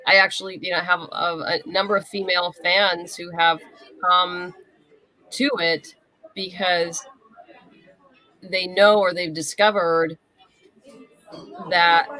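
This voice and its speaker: Bright-voiced woman with a lingering cough. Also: on the low side, rough recording. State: lingering cough after covid. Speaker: interview guest, comic writer and novelist. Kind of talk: casual interview chat.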